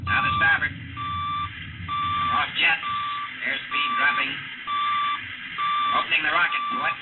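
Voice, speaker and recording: A man's voice: very high voice, huge pitch swings, a little slow, exaggerated voice. A man playing a brash young male test pilot in a 1940s radio drama, talking clipped and matter-of-fact. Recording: noisy tinny 1940s radio broadcast.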